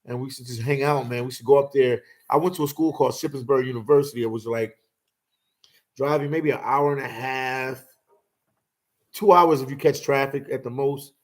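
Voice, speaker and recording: A man in his forties hosts a relaxed livestream, reminiscing and telling stories at an easy, unhurried pace. He fills his talk with "man" and "you know," keeping it loose and conversational. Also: medium pitch. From Philadelphia. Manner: laid-back storytelling, lots of "man" and "you know". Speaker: host. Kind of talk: casual livestream chat.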